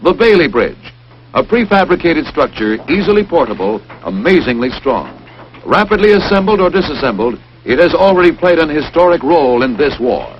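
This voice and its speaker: A man's voice: on the high side, big pitch swings, moderate pace. A man narrating a wartime training film in an emphatic, punchy 1940s newsreel-announcer style. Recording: dull, hissy 1940s film soundtrack.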